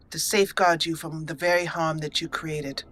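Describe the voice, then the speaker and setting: A resolute young elf mage, as a woman puts her on speaks in a bright, ringing tone. She talks in a measured, solemn manner, choosing her words with care. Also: deep voice, slight background noise. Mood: firm. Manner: measured, solemn role-play reading.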